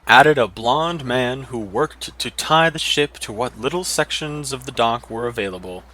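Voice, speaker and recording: A man's voice: mid-range voice, moderate pace, bright, forceful tone. A man reading a scripted fantasy adventure story as a dramatic narrator.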